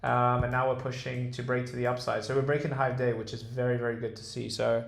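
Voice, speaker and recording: A young man with a British accent chats his way through trading charts on a live stream, his voice low in melody and gravelly at the edges. He talks in a relaxed, halting way, full of fillers and restarts. The sound bounces around a roomy space. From Dubai. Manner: relaxed, hesitant, many ums and restarts. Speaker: day trader streamer. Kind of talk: casual livestream chart commentary, reading chat. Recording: roomy echo.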